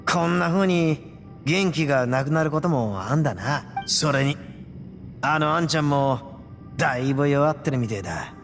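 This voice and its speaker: A man playing a brash but soft-hearted young gang boss in an anime game, with cocky, slangy, rough speech. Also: fairly high pitch, lively intonation, moderate pace, long reverb tail, faint music behind, natural voice. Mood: concerned. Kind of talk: Japanese anime game dialogue.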